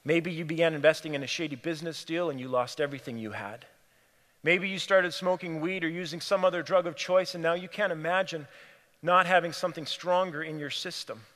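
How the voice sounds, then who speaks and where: A man's voice: a little high, fairly quick, fairly bright tone. A man from Cameroon preaching a sermon in an earnest way, repeating phrases for emphasis. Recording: long hall reverb.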